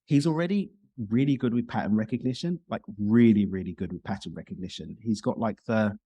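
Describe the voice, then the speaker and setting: Male interview guest: quick, talkative, close dry sound, conversational. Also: lowish voice, mellow, breathy edge, dry recording, muffled recording. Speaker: podcast guest, speaker and coach.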